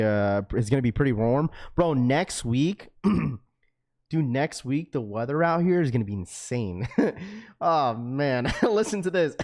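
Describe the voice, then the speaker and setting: A man hosting a livestream, chatting with his viewers in a relaxed, slangy way and tossing in "bro" and "dude" as he goes. His voice sits in an ordinary middle range. The recording is dry and close, with no room echo. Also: mid-range voice. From Washington state, US. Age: young adult to middle-aged, a father of a schoolchild. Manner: laid-back and slangy, full of bro, dude and like. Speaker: livestream host reading chat. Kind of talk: casual livestream chat.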